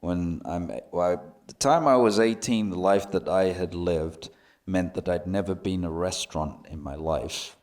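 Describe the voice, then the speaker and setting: A British man speaking as a panelist, telling his personal story to an audience in a halting, reflective way. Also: low-pitched, a little mellow. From Britain.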